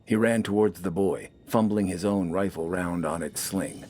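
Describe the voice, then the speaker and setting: A man's voice: low voice, steady tone, moderate pace, warm tone, faint music behind. A man reading scripted, dramatic fiction as an audiobook narrator.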